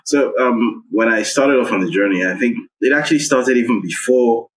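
A man, a guest recounting a personal story in a measured, reflective way. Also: medium pitch, low-quality recording. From Nigeria. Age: 30s. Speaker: guest, investigative journalist. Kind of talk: personal account in interview.

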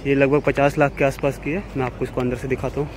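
A man's voice: mid-range voice, fast talker. Man vlogging at a noisy outdoor expo. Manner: relaxed, plain commentary while walking. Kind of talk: casual walkaround narration. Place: outdoor car expo on a lawn. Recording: noisy outdoor phone recording.

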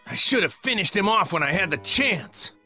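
An earnest, boyish martial arts hero from a video game. The delivery is highly animated and the tone bright and forceful, with faint music behind. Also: female voice, moderate pace. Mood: dismayed, puzzled.

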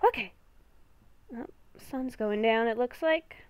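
A woman giving casual gameplay commentary, talking to herself in short, halting phrases. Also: a little high, a little mellow, clear, resonant tone, no echo. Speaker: gamer recording a roleplay series.

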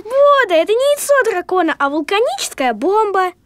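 A woman's voice: very high-pitched, sing-song, normal speed. A woman voicing a small, earnest know-it-all child creature who explains and corrects in a children's cartoon. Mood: insistent. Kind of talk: children's educational cartoon acting. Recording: music behind the voice.

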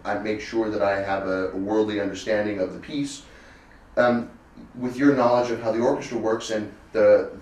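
A man in his twenties giving a thoughtful, measured and articulate interview answer, often rephrasing himself. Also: lowish voice, darkish tone. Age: 20s. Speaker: cello soloist, interviewee. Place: furnished indoor room, seated on sofa. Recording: roomy echo, distant mic, faint noise.

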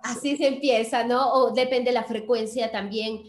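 A woman with a fairly high voice presents a podcast in an upbeat, chatty way. She speaks effusively and directly to her audience. The room around her adds a clear echo, as on a video call from home. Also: steady tone, moderate pace. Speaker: podcast host. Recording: roomy, echoing room sound.

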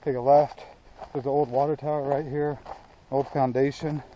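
A man in his thirties with an American accent, chatting casually and off the cuff to an action camera at arm's length, in a laid-back, plain-spoken way with pauses mid-phrase. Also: mid-range voice, little pitch movement, warm tone, lo-fi sound. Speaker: outdoor vlogger. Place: outdoors on an open hilltop trail. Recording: noisy outdoor action-camera audio.

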